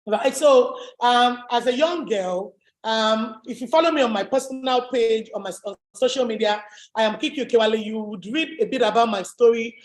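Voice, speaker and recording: A woman with a deep voice, giving an emphatic, motivational webinar talk as a business coach. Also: moderate pace. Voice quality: deep, low voice. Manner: emphatic, repetitive, rallying. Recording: echoey room.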